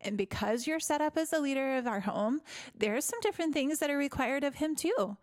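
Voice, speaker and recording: Loud, expressive woman hosting a podcast. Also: high voice, a little fast, no room sound, clean studio sound. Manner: expressive, laughs heartily, acts out quoted voices. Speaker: podcast host. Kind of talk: casual faith podcast chat.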